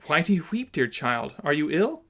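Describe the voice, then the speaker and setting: A man voicing a worried, devout mother in an audiobook, questioning in an earnest, gently probing way. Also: fairly high pitch, sing-song, moderate pace, heavily acted. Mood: gentle concern. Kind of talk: dramatised audiobook reading.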